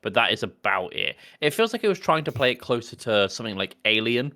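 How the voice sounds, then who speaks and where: A man's voice: medium pitch, very animated, fast talker, vocal fry, pushed and bright. A man co-hosting a film podcast, explaining things casually with wry, opinionated asides. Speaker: podcast co-host.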